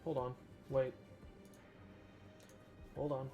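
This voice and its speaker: Young male streamer, casual play-by-play, joking and excitable, soft game music in the background. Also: medium pitch, drawn-out words. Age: young adult. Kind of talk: casual gameplay commentary.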